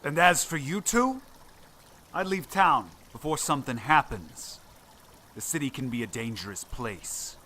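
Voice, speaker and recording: Man acting arrogant young male villain, sharp forceful voice, sneering, menacing, animated, audiobook character, faint noise. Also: medium pitch, measured pace, pitched above the performer's own voice. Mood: threatening.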